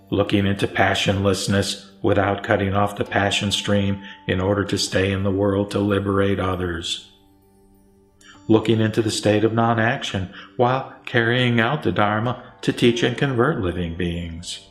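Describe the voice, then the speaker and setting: A man reciting Buddhist scripture as scripted narration, in a solemn, measured, deliberate manner. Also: on the low side. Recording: roomy echo with music underneath. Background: background music.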